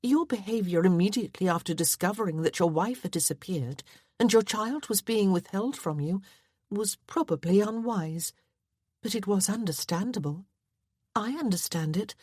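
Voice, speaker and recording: Woman playing an earnest, gentle young lady. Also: mid-range voice, lively intonation, normal speed, breathy edge, no echo, studio quality, pitched above the performer's own voice.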